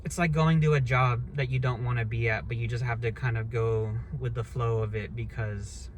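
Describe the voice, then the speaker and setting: A man in his early thirties holds forth in a loose, unscripted monologue, blunt and quick to swear as his thoughts wander and restart. His voice sits in an ordinary middle pitch, and a faint hiss runs under the recording. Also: steady tone. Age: 30s. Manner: blunt, rambling, swears freely. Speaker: vlogger. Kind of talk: casual monologue rant.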